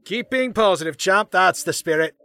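A man playing a cocky, defensive political staffer in a comedy drama, excitable and indignant. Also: high voice, moderate pace, smooth, no echo. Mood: wry, glib. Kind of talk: fast comedy audio drama dialogue.

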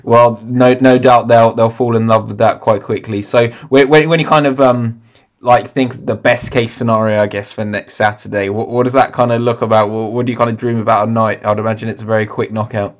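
A man's voice: mid-range voice, flat delivery, fairly quick. A man hosting a podcast, asking questions in a loose, hedging way with repeated words and lots of "kind of". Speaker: podcast host. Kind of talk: casual interview questions.

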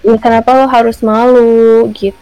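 A woman's voice: on the high side, level tone, normal speed, dark tone, lo-fi sound, faint music behind. A young woman from Jakarta with a Jakarta accent, chatting casually and mixing in English phrases with lots of fillers. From Jakarta, Indonesia. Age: young adult. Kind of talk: casual chat.

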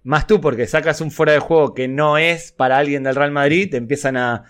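A man's voice: a little high, fairly even intonation, fairly quick, fairly bright tone. Galician man with an Argentine accent. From Galicia, Spain. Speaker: podcast co-host. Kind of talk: casual podcast chat.

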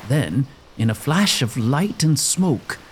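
A man's voice: medium pitch, expressive, takes it slowly, slight background noise. A man narrating a gothic audio drama in a scripted, dramatic storytelling style.

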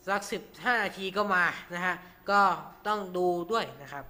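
A man's voice: high-pitched, animated, moderate pace, pushed and bright. A man hosting an informal tutorial, talking through each step with frequent polite particles. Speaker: radio show host.

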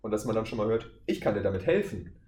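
A man's voice: lowish voice, sing-song, fast talker, darkish tone. A man speaking as a podcast guest, explaining things casually and at length in a discursive, circling way. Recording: echoey room, faint background noise.

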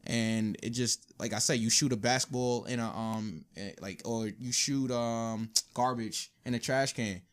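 A man with a medium-pitched voice talks as a podcast co-host. His delivery is casual and slangy, with subdued, low energy, as in an informal chat. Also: fairly even intonation, measured pace, few pauses. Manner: informal urban slang, frequent "you know what I'm saying". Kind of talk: casual conversational podcast talk.

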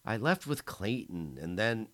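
A man's voice: fairly low pitch, very animated, measured pace, a bit bright, pitched above the performer's own voice. A man voicing a battered young man who wheezes and cries as he talks. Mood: tearful. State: hoarse, wheezing.

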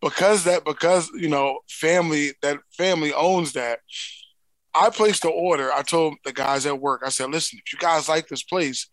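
Animated Philly storyteller, higher voice. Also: male voice, normal speed, no echo, poor audio. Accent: Philadelphia. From Philadelphia. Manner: animated storyteller, laughs loudly at his own stories. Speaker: co-host. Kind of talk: casual podcast chat.

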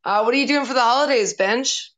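A woman's voice: on the high side, fast talker, a bit bright. Teasing, sarcastic young woman. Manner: teasing, sarcastic, swears freely. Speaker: podcast host. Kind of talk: casual chat.

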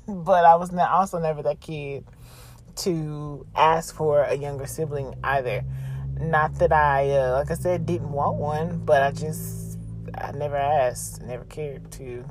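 A woman's voice: sits low, fairly even intonation, a little slow, music in the background. A woman podcast host chatting casually and confessionally, blunt, slangy and freely swearing.

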